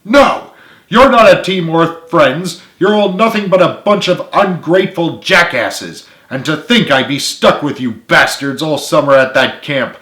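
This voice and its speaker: A hot-headed, embittered young team leader, acted out by a male narrator shouts emphatically in a storybook tirade. The pitch is pushed well up, and the room echoes around it. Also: animated, unhurried. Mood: furious, hurt.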